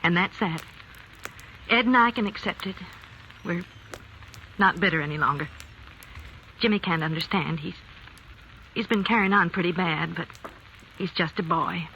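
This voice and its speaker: A woman playing a dignified farm wife and mother in a vintage-style radio drama, soft and resigned. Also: on the low side, moderate pace, long pauses, muffled recording, slight background noise. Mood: quiet resignation.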